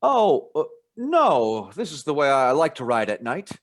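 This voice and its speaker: A man's voice: on the high side, huge pitch swings, unhurried. An actor playing a curious, polite, slightly flustered young man in a radio play.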